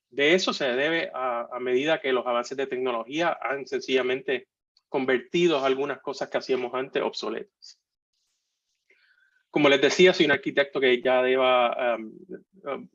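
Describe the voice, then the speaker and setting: A man's voice: medium pitch, fairly bright tone. A man in his forties with a Puerto Rican accent, giving a webinar talk in a jocular, hesitant way full of fillers and restarts. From Puerto Rico. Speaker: guest speaker. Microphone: video call. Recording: muffled online call audio.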